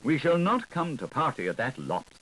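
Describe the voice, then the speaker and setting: A man's voice: medium pitch, sing-song, normal speed, a bit bright, pitched above the performer's own voice, rough recording. Man voicing a pompous, solemn storybook ant. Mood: haughty. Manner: pompous, declaiming. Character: pompous, solemn, self-righteous male ant, thrifty and haughty. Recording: 1980s audiobook recording with audible background noise.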